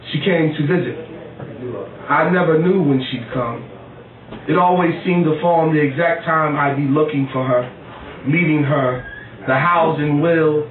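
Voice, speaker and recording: Male poet, live reading, deliberate phrasing, slightly high voice, recorded far off in an echoey room. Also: narrow, tinny audio, a little hiss or hum. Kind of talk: live spoken-word poetry reading. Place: small cafe poetry night, indoors.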